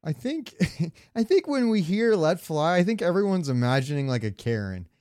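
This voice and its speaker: A man's voice: a little high, big pitch swings, moderate pace, a little mellow, clear, resonant tone. A man co-hosting a podcast, chatting casually about games in loose, slangy language. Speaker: podcast co-host. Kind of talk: casual gaming banter and debate.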